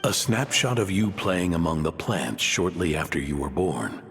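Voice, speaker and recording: Deep, gravelly, gentle father. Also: lively intonation. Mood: fond, apologetic. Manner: tender, measured, reflective. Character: gentle aging father, an inventor, speaking through his letters. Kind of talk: dramatic voice acting of letters read as voice-over. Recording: long reverb over soft music.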